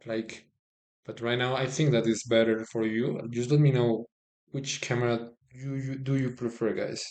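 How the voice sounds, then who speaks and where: A Colombian man with a Spanish accent gives easygoing live-stream chess commentary in a medium-pitched voice. He talks in a chatty, stop-start way, repeating words as he reaches for them and turning often to thank his viewers. He is recorded on a phone. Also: little pitch movement, moderate pace, a little mellow.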